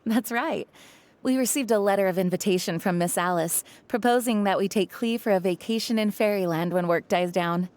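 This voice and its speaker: An actress playing an earnest, dutiful young knight leader in an anime-style video game, speaking in a polite, measured, formal way. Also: female voice, mid-range voice, a little mellow, close to an everyday voice. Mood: courteous.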